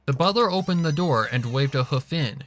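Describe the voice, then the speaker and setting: A man's voice: a little high, expressive, a little fast, a bit bright. A man reading a story aloud as a scripted narration. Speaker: fan fiction reader.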